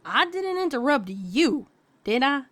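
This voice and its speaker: A woman voicing a deadpan cowgirl pony who tells a spooky tale in a folksy, dramatic way for a fan fiction audio story. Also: high voice, a little slow, heavily acted. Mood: deadpan, annoyed. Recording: background music under the voice.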